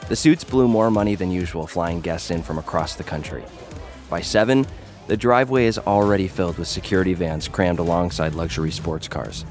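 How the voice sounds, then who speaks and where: A man acting as a young American online celebrity fighter tells his own story in a low register. He is cocky and jaded, with a wry, conversational delivery. Music plays faintly underneath this audio drama narration. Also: brisk, darkish tone, close to an everyday voice. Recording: music bed behind the voice.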